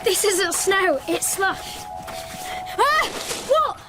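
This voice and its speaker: An actress playing a blunt, defiant but loyal young child in a naturalistic radio drama. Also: female voice, very high voice, lively intonation, very slow, background noise, heavily acted. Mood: excited.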